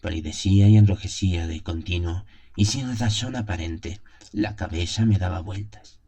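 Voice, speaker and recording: Male narrator for gothic audio fiction: very low, mellow voice, solemn theatrical delivery, music underneath. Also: moderate pace. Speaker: narrator of an audio horror story. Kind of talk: dramatic scripted first-person narration of a gothic tale. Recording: music behind the voice.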